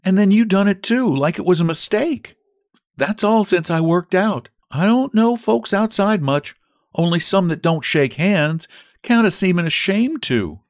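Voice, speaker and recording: Storybook housemaid, young, timid, plain-spoken, high and lively, as a male reader puts her on. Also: measured pace, dry recording, studio quality. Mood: wistful. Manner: homely rural grammar, earnest and simple.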